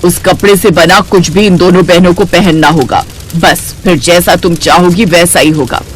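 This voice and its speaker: A woman's voice: on the low side, steady tone, moderate pace, soft background music, natural voice. Woman playing an ominous, greedy sorceress. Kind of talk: Hindi cartoon story character acting.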